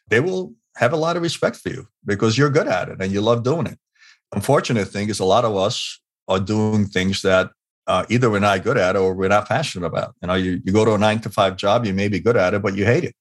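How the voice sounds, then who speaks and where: A middle-aged man from Hungary, a guest on an interview show, telling a story in a loose conversational way with lots of "you know" and restarts. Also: lowish voice. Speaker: guest, leadership expert.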